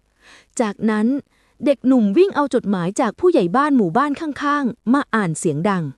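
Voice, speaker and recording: A woman's voice: a little high, big pitch swings, brisk, no room sound, clean studio sound. A woman reading a scripted folk tale as a storyteller, with dramatic narration.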